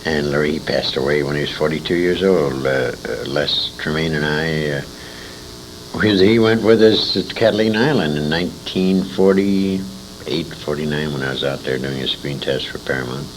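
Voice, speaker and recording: A man's voice: extremely low pitch, normal speed, a little hiss or hum, low-quality recording. An older American man telling anecdotes as an interview guest, in a salty, emphatic way, repeating phrases for effect. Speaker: interview guest, old-time radio veteran. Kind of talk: animated anecdotal storytelling.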